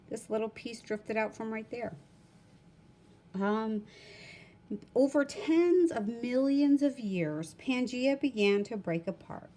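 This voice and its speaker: A woman's voice: on the high side, a little mellow. A woman teacher reads a textbook aloud to students, breaking off for informal explanatory asides.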